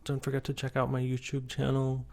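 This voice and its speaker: A man's voice: mid-range voice, completely flat delivery, fairly quick, a little mellow. A man hosting a podcast and chatting casually, feeling his way hesitantly through what he says. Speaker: podcast host, interviewer. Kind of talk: casual interview chat. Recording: clear traffic and ambient noise behind.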